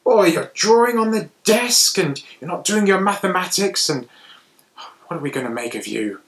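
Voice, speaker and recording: A man's voice: sits high, animated, moderate pace, a bit bright, pitched above the performer's own voice. Man acting a stern, exasperated schoolteacher. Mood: stern exasperation. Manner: stern, fussy.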